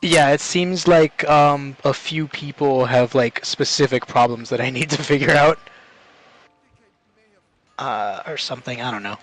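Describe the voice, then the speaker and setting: A man's voice: mid-range voice, a little slow, a bit bright, no room sound, faint music behind. Jokey man streamer, mid-range voice. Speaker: streamer playing a game. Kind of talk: casual gameplay commentary.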